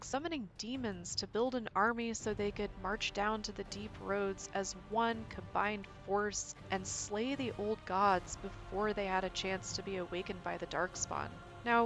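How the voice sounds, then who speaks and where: Female narrator, mid pitch, measured and serious scripted lore essay, music behind. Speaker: video game lore narrator. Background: background music.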